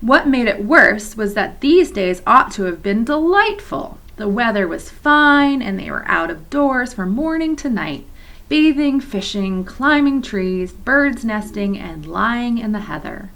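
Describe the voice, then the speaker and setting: A woman's voice: on the high side, animated, a little slow, creaky, a bit bright. A woman reading a children's novel aloud for a church, with chatty asides to her young listeners. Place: church office.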